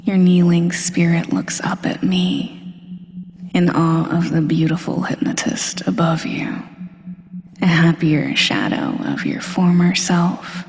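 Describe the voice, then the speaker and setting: A woman playing a smug, domineering female supervillain hypnotist in a scripted roleplay audio, breathy and deliberate, often dropping into a whisper. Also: on the low side, darkish tone. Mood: domineering, soothing. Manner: slow, deliberate, hypnotic, commanding. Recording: long hall-like reverb.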